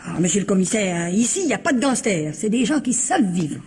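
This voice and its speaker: An actress playing a plain-spoken, proud older household cook in a radio mystery, husky, rambling and naively blunt. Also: female voice, medium pitch, big pitch swings, a little fast, a little mellow. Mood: chatty, defensive. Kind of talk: French radio mystery drama acting.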